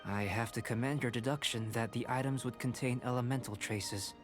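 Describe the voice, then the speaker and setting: An actor playing a polite, methodical young male alchemist scholar in a game, speaking in a measured, courteous and analytical way. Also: mid-range voice, steady tone, lightly acted. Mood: calm. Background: game music.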